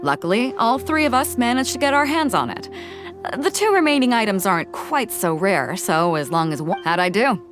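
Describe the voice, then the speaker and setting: A woman's voice: mid-range voice, big pitch swings, moderate pace, over music, lightly acted. An actress playing a confident, easygoing woman sea captain with a friendly, laughing manner. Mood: hearty. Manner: breezy, friendly, laughs easily. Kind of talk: game voice acting.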